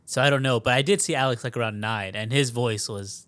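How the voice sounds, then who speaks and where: A man's voice: mid-range voice, fairly quick, fairly bright tone, smooth, no echo. A man co-hosting a podcast in casual chat, laid-back, mimicking complaining followers. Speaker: podcast co-host.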